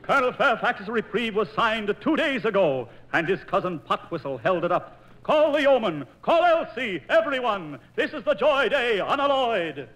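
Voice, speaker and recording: An actor playing an excited bearer of joyful news in 1940s radio operetta. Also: male voice, sits high, moderate pace, poor audio. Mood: joyful urgency. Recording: old 1940s radio transcription disc, music.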